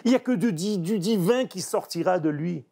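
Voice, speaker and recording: A man's voice: high-pitched, gravelly. A man, a painter speaking French as a second language, reflecting emphatically in an interview. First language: not French. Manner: animated, emphatic, searching pauses. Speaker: painter guest.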